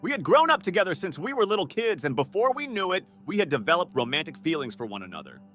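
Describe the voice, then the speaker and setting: An actor playing an earnest, easily flustered young student butler in an anime-style romantic comedy dub, polite and devoted. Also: male voice, high-pitched, big pitch swings, quick pace, pushed and bright, soft background music, full character voice. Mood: reflective.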